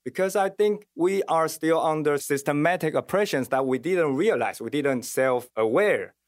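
A man's voice: a little high, moderate pace, no room sound, clean studio sound. A man from Taiwan with a Taiwanese accent, a metal musician answering interview questions in earnest, searching for his words in English.

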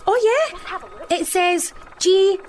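High-voiced bossy schoolgirl vlogger. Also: big pitch swings, very slow, a crowd in the background, heavily acted. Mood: curious, excited. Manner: chatty, bossy, breezy. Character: bossy, chatty island schoolgirl vlogger named Lily. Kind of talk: radio drama acting as a casual phone vlog.

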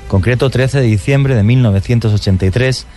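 A man hosting a radio talk show about mysteries, talking with animated enthusiasm. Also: mid-range voice, brisk, soft background music. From born outside Colombia.

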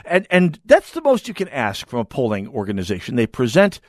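A man's voice: mid-range voice, wildly swooping pitch, fairly quick, no room sound, studio quality. A man hosting a talk radio show, speaking emphatically and wryly, with frequent restarts. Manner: emphatic, wry, restarts often. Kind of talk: talk radio monologue and calls.

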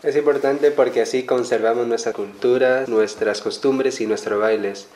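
A teenage boy being interviewed, speaking slowly and earnestly in plain words. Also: medium pitch, fairly even intonation, a little mellow, echoey room. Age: teens. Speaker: student guest.

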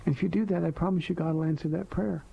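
A soft-spoken man hosting a live call-in radio show as a pastor, answering listener questions in a gentle, earnest and reflective way with slow emphatic phrases, heard over a dull lo-fi broadcast. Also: on the high side, steady tone, creaky, husky. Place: radio studio.

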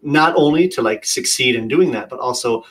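A man chatting as a podcast guest, relaxed and talkative. He pads his stories with "you know" and "like." His voice sits at a typical middle pitch for a man. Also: moderate pace. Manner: lively storyteller, lots of "you know" and "like". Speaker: guest, long-distance traveler. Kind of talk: casual conversation.

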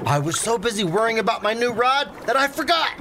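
A man acts out a forgetful fisherman sidekick in a radio play. The voice is high and lively, sheepish and hesitant, over noisy water ambience. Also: fairly quick.

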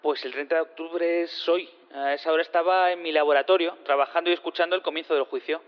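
An actor playing an awkward, literal-minded scientist as a witness, halting, roundabout and deadpan. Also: male voice, fairly high pitch, muffled recording, lightly acted. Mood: awkward, sheepish.

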